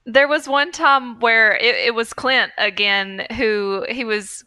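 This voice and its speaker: Bright, higher-pitched young woman chatting. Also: slow pace, clear, resonant tone, no echo. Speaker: podcast co-host. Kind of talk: casual chat.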